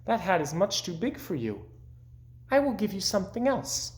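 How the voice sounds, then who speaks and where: A reader voicing a cheerful, optimistic male frog from a storybook in an animated, excitable manner. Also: mid-range voice, normal speed, pitched above the performer's own voice, lightly acted. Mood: kindly.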